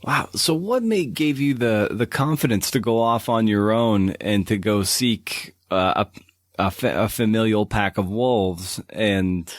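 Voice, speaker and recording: A man with a fairly low voice hosts a conversational podcast interview. He phrases questions thoughtfully, finding the wording as he goes. The sound is very dry. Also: a little slow, no room sound.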